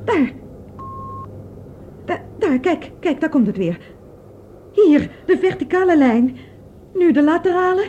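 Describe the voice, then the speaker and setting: High-voiced anxious space fugitive. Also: female voice, expressive, a little slow, pauses often, mellow. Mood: alarmed. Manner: worried, questioning. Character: anxious, questioning young female space fugitive. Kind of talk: Dutch radio drama acting. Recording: dull, noisy old radio play.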